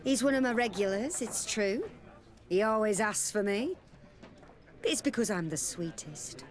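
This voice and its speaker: Actress as tavern courtesan, game dialogue, middle pitch, flirtatious, sweet-talking, coy, familiar. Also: female voice, animated, unhurried, close to an everyday voice. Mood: coy, confiding. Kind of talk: video game dialogue acting.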